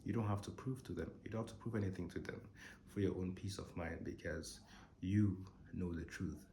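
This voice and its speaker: Young guy with a real deep gravelly voice, kinda hoarse from a cold, slow reflective storytelling, echoey room. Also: warm tone, slight background noise. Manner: slow, thoughtful storytelling with pauses. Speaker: channel host. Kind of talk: reflective personal monologue.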